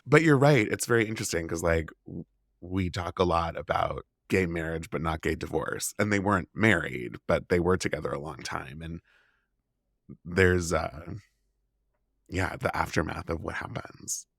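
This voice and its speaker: A man's voice: low voice, moderate pace, gravelly, fairly bright tone, dry recording, studio quality. A man hosting a podcast, chatting casually with a guest and reacting in an encouraging way. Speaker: podcast host interviewing authors. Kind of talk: casual interview chat.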